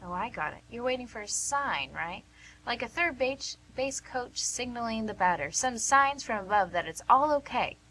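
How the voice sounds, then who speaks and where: A woman's voice: a little high, animated, moderate pace, sharp tone, slight background noise, rough recording. A woman playing a plain-spoken, caring but pushy nurse in a radio play, persuasive and folksy. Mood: playfully encouraging. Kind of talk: amateur radio theatre acting.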